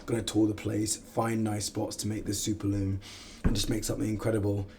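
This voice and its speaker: A young man from the West Indies, chatting casually in a vlog, animated and self-mocking, swearing and saying "like" a lot. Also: on the low side, fairly quick, slight background noise. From Guyana and the West Indies. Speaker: vlogger and outreach coach. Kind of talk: casual vlog talk to camera.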